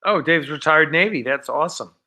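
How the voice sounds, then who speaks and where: A man's voice: on the high side, brisk, bright, forceful tone. A man hosting a live stream, chatting casually and off script in a rambling, enthusiastic way.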